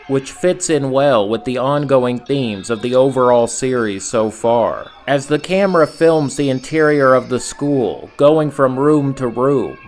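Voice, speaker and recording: A man narrating scripted horror commentary as a host, in a measured storytelling manner with rhetorical asides. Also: mid-range voice. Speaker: video essay host and narrator.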